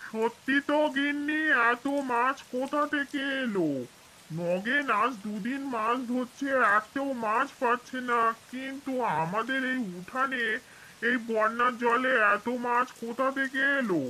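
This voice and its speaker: A woman's voice: a little high, measured pace, bright, forceful tone, a little hiss or hum, rough recording. A woman voicing a worried, kindly male farmer in a cartoon folk tale, speaking earnestly. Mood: amazed. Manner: earnest, fretful.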